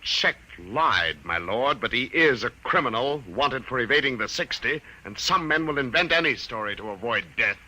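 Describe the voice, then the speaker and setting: Husky, scheming villainous minister. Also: male voice, mid-range voice, animated, moderate pace, few pauses, bright, forceful tone, rough recording. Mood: sly, insinuating. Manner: insinuating, formal. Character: smooth-talking, scheming high minister, male villain. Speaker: radio actor. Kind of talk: 1950s radio drama acting. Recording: old 1950s radio broadcast recording.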